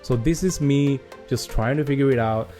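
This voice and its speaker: A man's voice: medium pitch, normal speed, loud music behind the voice. A young man from Sri Lanka with a Sri Lankan accent, whose first language is Sinhala, talking casually to camera in a vlog, often restarting his sentences. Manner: hesitant, many restarts and fillers. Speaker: gaming channel creator.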